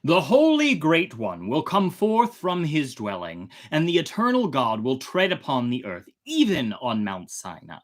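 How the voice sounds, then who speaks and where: Expressive storytelling host with lively, swooping pitch. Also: a little slow, hardly any pauses. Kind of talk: casual livestream chat.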